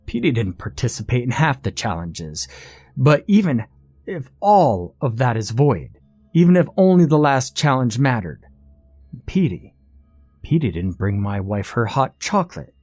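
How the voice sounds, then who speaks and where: A man's voice: medium pitch, big pitch swings, a little slow, darkish tone, no echo, faint music behind, pitched above the performer's own voice. A determined everyman played by a male narrator argues his case point by point. He is assertive and matter-of-fact, in a dry, close storybook recording. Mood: emphatic, triumphant. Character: determined, clever male contestant, a loophole-hunting everyman.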